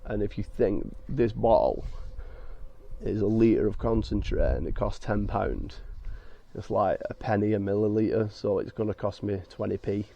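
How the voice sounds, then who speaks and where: A man with a croaky, hoarse voice from being ill, hosting a car detailing vlog and explaining each step in a chatty way with many fillers. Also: fairly low pitch, moderate pace, dark tone. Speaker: car detailing channel host. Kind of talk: casual talk to camera with fillers. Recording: dull lo-fi sound.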